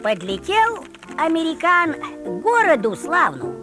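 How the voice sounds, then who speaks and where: A woman playing a theatrical folk-tale storyteller, delivering rhymed narration in a drawn-out, exaggerated sing-song. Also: extremely high pitch, huge pitch swings, over music, full character voice. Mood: playful.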